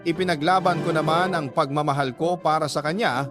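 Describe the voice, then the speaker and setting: A man's voice: a little high, fairly even intonation, fairly quick. A man with a Filipino accent narrating a scripted first-person love story in a reflective, dramatic manner. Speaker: storyteller, radio host. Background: background music.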